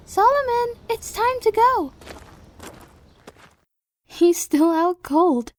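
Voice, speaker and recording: Woman playing very high-voiced young pack daughter. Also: lively intonation, takes it slowly, a little mellow, full character voice. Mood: gentle, eager. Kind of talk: audio drama acting.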